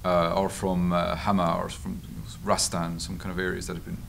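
An Irish man answering interview questions in a halting, informal, anecdotal way at a table microphone. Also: low-pitched, creaky. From Ireland. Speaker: journalist and author being interviewed. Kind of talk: conversational interview answers at a public discussion. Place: meeting room at a table. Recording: steady background room noise.

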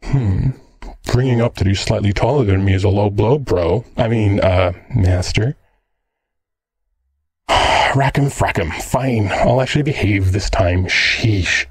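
A man's voice: fairly low pitch, a little slow, husky, no echo. A man playing a teasing, jealous, slangy android boyfriend in an ASMR roleplay. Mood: jealous, sulky. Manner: cheeky, slangy, sardonic.

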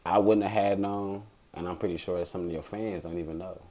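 Host guy, low mellow voice, easygoing, keeps saying man and you know. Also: steady tone, fast talker. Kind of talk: interview questions.

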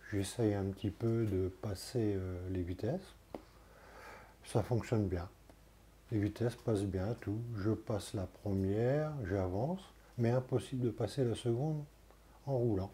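A man in his sixties talks outdoors into a clip-on mic and tells his story in a plain, halting way. His voice is soft, dark and somewhat low. Faint roadside ambience sits behind him. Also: a little hiss or hum. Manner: plain, hesitant, many fillers. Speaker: vlogger. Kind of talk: casual vlog storytelling. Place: outdoors by a roadside and parking lots. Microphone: clip-on lapel mic.

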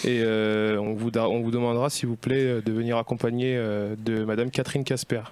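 A young man playing an officious, insistent police colonel on a prank call, formal and deadpan. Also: mid-range voice, fairly quick, slight background noise, voice pushed down, natural voice. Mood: stern, insistent.

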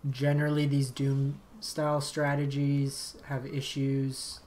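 Slightly raspy man streaming a game. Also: monotone, slow pace, darkish tone. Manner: thinking aloud, low-key, muttered asides. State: slightly raspy from long talking. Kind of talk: casual gameplay commentary. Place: home streaming setup.

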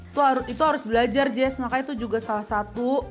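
A woman's voice: fairly high pitch, fast, faint music behind. A woman in her thirties with a Betawi accent, chatting casually and animatedly as a guest. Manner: chatty, animated, with playful put-on voices. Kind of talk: casual chat. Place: bright indoor room.

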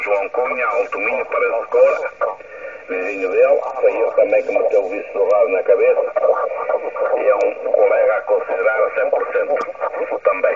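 An older man from Portugal with a European Portuguese accent, chatting casually and repetitively as an amateur operator, heard through a shortwave radio. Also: fairly low pitch. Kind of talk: casual ham radio chat. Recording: dull, very noisy shortwave radio reception. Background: loud radio static and hiss.

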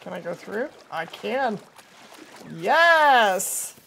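A woman's voice: medium pitch, sing-song, drawn-out words, vocal fry, a bit bright, a little hiss or hum. A woman in her thirties livestreaming a game in casual, chatty talk, her voice strained by a cold. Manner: chatty, talking to viewers and to the game. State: has a cold, losing her voice. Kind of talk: casual livestream gameplay chat. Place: indoors at a streaming setup.

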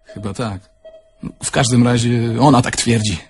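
An actor playing a naive, candid young Polish car thief in an audio drama, talking in a plain, conversational way. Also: male voice, medium pitch, fairly even intonation, normal speed, faint music behind, lightly acted. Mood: subdued. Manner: conversational, plain-spoken, reminiscing.

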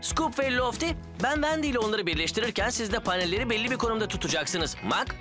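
Expressive, friendly builder foreman. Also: sits high, fast. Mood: encouraging. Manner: encouraging, directing. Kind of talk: animated cartoon dub acting. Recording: loud music behind the voice.

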